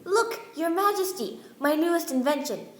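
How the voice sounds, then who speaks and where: A woman's voice: extremely high pitch, animated, moderate pace. A woman playing a boastful, plain-spoken inventor who serves a king, in an amateur radio play. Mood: proud. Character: boastful Yankee inventor, the king's right-hand man. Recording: roomy live room, music behind.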